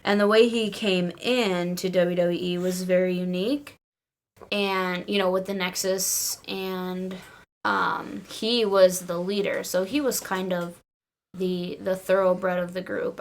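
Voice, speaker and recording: A woman's voice: fairly low pitch, flat delivery, a little slow. A woman chatting casually and earnestly as a panelist on a wrestling podcast. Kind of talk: casual wrestling fan chat.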